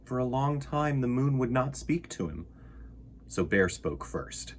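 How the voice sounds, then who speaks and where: A man's voice: medium pitch, a little slow, a little hiss or hum. A man hosting a children's storytime, reading and chatting expressively and speaking straight to young listeners. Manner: expressive, emphatic, addresses young listeners directly. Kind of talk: animated picture-book reading and chat to children.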